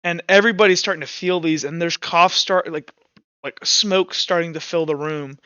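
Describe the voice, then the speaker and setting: A man narrating a tabletop roleplaying game as game master, improvising in halting phrases with pauses mid-sentence. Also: a little high, pushed and bright, smooth, dry recording, dull audio.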